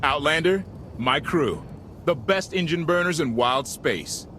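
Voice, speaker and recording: A man's voice: on the high side, expressive, unhurried, sharp tone, slight background noise. Cocky, breezy male pilot. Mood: breezy, proud. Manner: breezy, joking. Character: cocky, easygoing male pilot and ship captain. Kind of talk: video game cutscene acting.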